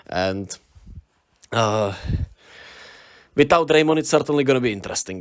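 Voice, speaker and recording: A man from the Czech Republic with a Czech accent, hosting his own channel with casual solo basketball commentary, chatty, with lots of fillers and tag questions. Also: on the low side. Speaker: sports channel host.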